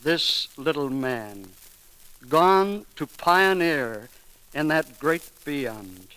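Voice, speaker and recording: A man reading a formal memorial eulogy on 1930s radio, solemn and oratorical with deliberate phrasing. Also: on the high side, expressive, bright, forceful tone, clear, resonant tone. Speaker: film studio manager giving eulogy. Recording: crackly 1930s radio broadcast recording.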